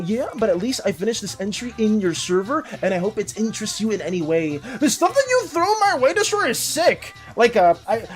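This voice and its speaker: Casual livestream host, animated, rambling, very lively pitch, mid-range voice, faint music behind. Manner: animated, rambling, reacts to chat. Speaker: art and game streamer. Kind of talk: casual livestream chat with viewers.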